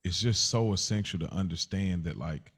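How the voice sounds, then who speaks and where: A man's voice: sits low, normal speed, fairly bright tone, breathy edge. An American man co-hosting a podcast, chatting casually and reflectively, slangy and affirming as he circles around his point. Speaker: podcast co-host.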